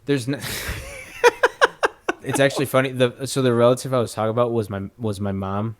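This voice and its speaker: A man's voice: medium pitch, very animated, normal speed. A man in his twenties from the United States, a guest in a casual podcast chat, easygoing and profane, laughing easily. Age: 20s.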